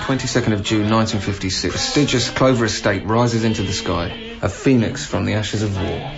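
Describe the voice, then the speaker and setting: Low-voiced male council officer, gravelly, dry and dutiful item-by-item reading, radio play, dull sound. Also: brisk, over music. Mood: matter-of-fact, subdued.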